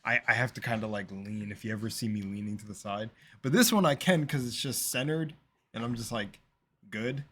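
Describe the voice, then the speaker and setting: A man's voice: lowish voice, fairly quick. Young man with a lisp, rambling. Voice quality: slight lisp. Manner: rambling, filler-heavy, drifting between loud bursts and mumbles. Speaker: reaction video host. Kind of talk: casual rambling reaction commentary.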